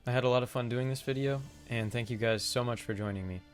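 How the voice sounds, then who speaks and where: A man's voice: mid-range voice, quick pace, soft background music. A man presenting a vlog to his viewers as an amateur voice actor. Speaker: video creator and amateur voice actor.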